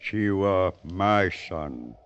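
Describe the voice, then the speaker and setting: A slow, deep old chief. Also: male voice, animated, clean tone, full character voice. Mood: solemn. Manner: solemn, halting, terse broken English. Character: weary, proud old Pawnee chief, grieving father. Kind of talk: vintage radio western acting. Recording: dull vintage radio recording.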